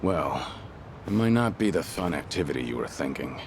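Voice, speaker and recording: An actor playing a grave but gentle aging yakuza legend in a game cutscene, low and gravelly, speaking with measured restraint. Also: male voice, expressive, slight background noise. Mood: calm, warm. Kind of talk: video game cutscene acting.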